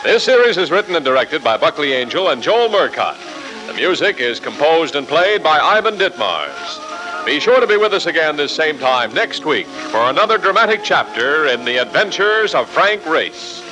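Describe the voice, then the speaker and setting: A man's voice: on the high side, big pitch swings, normal speed, rough recording. An American man announcing in scripted 1940s radio style. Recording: old radio recording with loud music. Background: music.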